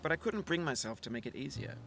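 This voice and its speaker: Calm, reflective male narrator over music. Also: on the high side. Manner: calm, thoughtful, measured. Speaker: game narrator.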